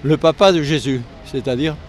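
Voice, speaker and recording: An older man with a southern French accent from Lozère, a retired air force serviceman speaking earnestly in a street interview, halting when the subject turns painful. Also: on the high side, a little hiss or hum. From Lozère, southern France. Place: outdoors on a city street.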